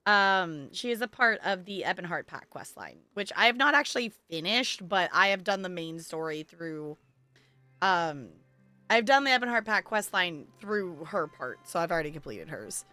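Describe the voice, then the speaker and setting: A woman game streamer chatting casually and in an animated way with her livestream audience as she plays. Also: lowish voice, moderate pace, no room sound. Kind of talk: casual livestream chat and reading game dialogue. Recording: music behind the voice.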